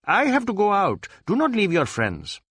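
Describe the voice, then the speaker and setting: An irritable Indian police inspector and family man, acted by a male narrator, snapping in self-righteous retorts. The voice is raised and swings in pitch, as storybook dialogue in a dry recording. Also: huge pitch swings, moderate pace, a bit bright, husky, no echo, full character voice. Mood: perverse, flustered. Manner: snarling, retorting.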